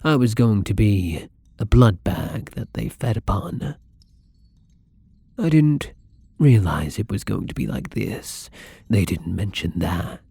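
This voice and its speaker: Man acting a husky, breathy young vampire. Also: on the low side, lively intonation, takes it slowly, dry recording, heavily acted. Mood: subdued, wistful. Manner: soft, hesitant, breathy, often dropping to whispers. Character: newly turned young male vampire, apologetic, timid, hungry. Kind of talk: intimate ASMR roleplay acting.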